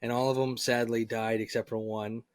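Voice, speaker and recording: A man's voice: medium pitch, flat delivery, moderate pace. A man who is a comedian, guesting on a podcast and telling stories in an easygoing way, saying dude a lot. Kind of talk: casual chat.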